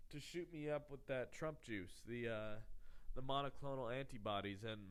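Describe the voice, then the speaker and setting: A man's voice: medium pitch, moderate pace, a bit bright, smooth. Nasal, congested man rambling sarcastically. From Texas. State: congested from illness. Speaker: podcast host. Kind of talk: casual comedic monologue.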